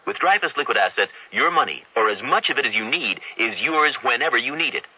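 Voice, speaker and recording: A man reads a radio advertisement persuasively. His medium-pitched voice is husky and swings expressively. The recording is thin and phone-like. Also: lively intonation, brisk, no echo. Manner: polished, persuasive sales delivery. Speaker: commercial announcer.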